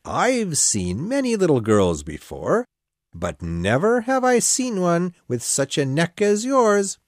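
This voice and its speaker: A woman puts on a fretful storybook pigeon, shrill and accusing, stubbornly sure of himself. Delivered slowly, with the pitch swinging widely, set a little apart from the usual voice and recorded dry. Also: wildly swooping pitch, no echo, exaggerated voice. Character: suspicious fretful male pigeon bird.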